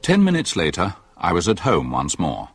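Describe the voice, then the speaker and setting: An actor playing a composed doctor narrating a mystery in a radio play, in measured storytelling style. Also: male voice, deep voice, huge pitch swings, a little hiss or hum, exaggerated voice. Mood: composed, reflective. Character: composed English doctor telling his mystery tale. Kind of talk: scripted first-person narration.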